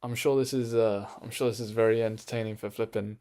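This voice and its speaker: A man's voice: on the low side, fairly even intonation, fast, dark tone. A man chatting casually on a live stream from his bedroom, rambling with lots of ums and restarts.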